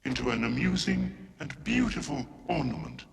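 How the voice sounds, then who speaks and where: Gnome king villain: slow, gloating, swooping pitch, echoey dark fantasy film sound with music behind. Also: sing-song, vocal fry, a little hiss or hum. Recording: echoey distant film audio under music.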